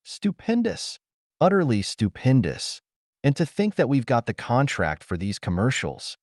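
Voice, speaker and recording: A pompous male announcer and boss acted out by a man reading a story holds forth with grandiose dignity. The voice is sonorous and swings widely in pitch. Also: huge pitch swings, a little slow, a little mellow, dry recording, studio quality, full character voice. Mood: gushing.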